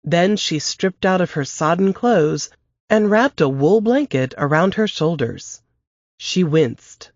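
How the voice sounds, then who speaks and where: Woman narrating with lively pitch. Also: no echo. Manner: measured, expressive storytelling. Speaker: podcast host reading an excerpt. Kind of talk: scripted narration of a novel excerpt. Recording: dry, dull lo-fi podcast audio.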